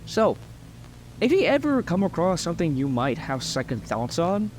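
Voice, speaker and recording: A man with a fairly high voice narrates a scripted video essay. His pitch swings in a very lively way as he earnestly stresses his points, now and then tripping on a word. Music plays under his voice. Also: moderate pace, poor audio.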